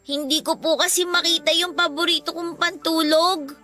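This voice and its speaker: A woman's voice: very high-pitched, steady tone, normal speed, bright, forceful tone, soft background music. A woman with a Filipino accent playing a sweet, polite little daughter in a radio drama. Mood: worried.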